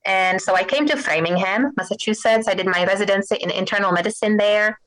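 A middle-aged woman from the Czech Republic with a Czech accent, a guest physician in an interview, talking thoughtfully and pausing to search for words. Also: mid-range voice, fairly bright tone, rough recording. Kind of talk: interview conversation.

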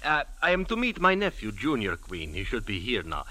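An actor playing a polite, long-winded, inquisitive tourist, with hesitant, ingratiating formal manners, in a 1940s radio drama. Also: male voice, mid-range voice, wildly swooping pitch, fairly quick, pushed and bright.

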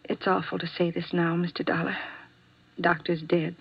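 An actress playing a weary, guarded doctor's employee in a 1950s radio drama, speaking hesitantly with an American accent. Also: female voice, fairly low pitch, little pitch movement, moderate pace, creaky, slightly rough. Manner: hesitant, evasive, sighing. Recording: dull, dry 1950s radio recording.

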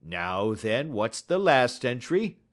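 A man voicing a belligerent, gruff poultry salesman who talks loud and combative in an audiobook story. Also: medium pitch, very animated, slow, pitched above the performer's own voice.

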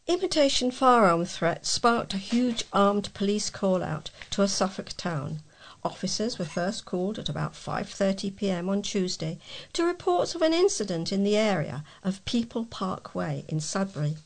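A woman's voice: medium pitch, big pitch swings, moderate pace. A woman reading scripted local news as a volunteer newsreader.

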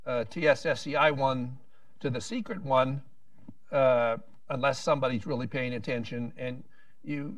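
A man's voice: mid-range voice, fairly bright tone, dry recording, distant mic. A man speaking on a policy panel, rambling with frequent restarts and wry asides.

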